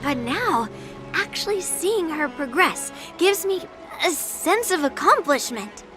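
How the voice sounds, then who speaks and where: A woman's voice: extremely high pitch, very animated, slow pace, music in the background, exaggerated voice. A woman voicing a brash, confident young female sword master in an anime-style game, blunt and teasing. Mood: proud, frank.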